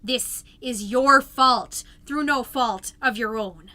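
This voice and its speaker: A woman playing an ominous, hypnotic self-help narrator, giving a deliberate, incantatory mock-inspirational reading in a comedy podcast. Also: fairly high pitch, pushed and bright. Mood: insistent, menacing.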